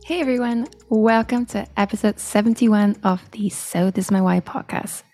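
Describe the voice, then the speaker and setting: A woman hosting a podcast, reading her episode introduction in a measured way. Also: mid-range voice, a little mellow, soft background music. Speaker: podcast host and producer.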